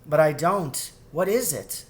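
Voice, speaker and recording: A man voicing a gentle, polite adult female mouse in a storybook, asking things patiently. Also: fairly high pitch, expressive, a little slow, pitched above the performer's own voice. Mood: puzzled. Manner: mild, patient, questioning. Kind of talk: storybook character voice in a read-aloud.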